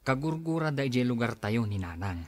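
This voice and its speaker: A man's voice: medium pitch, very animated, a little fast, dry recording. A man acting an earnest schoolteacher son in an Ilocano radio drama, worried and pleading as he keeps peace.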